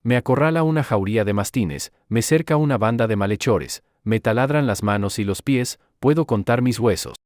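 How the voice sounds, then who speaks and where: A man reading a psalm aloud in a measured, declaiming way. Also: mid-range voice, darkish tone, no echo, clean studio sound. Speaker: reader.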